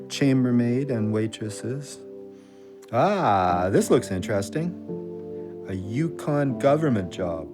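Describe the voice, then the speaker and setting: An actor playing a hopeful, restless working-class ex-con with slangy, plain-spoken talk in an audio drama. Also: male voice, medium pitch. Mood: wry, restless. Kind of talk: audio drama acting and monologue.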